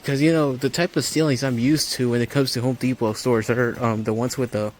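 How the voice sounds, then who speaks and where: A man's voice: mid-range voice, steady tone. Man vlogging casually over store noise. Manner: halting, absorbed enthusiast's narration. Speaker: amateur vlogger. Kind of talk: casual walk-through vlog commentary. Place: large home improvement store, indoors. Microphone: handheld phone while walking. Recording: store ambience, noticeable background noise.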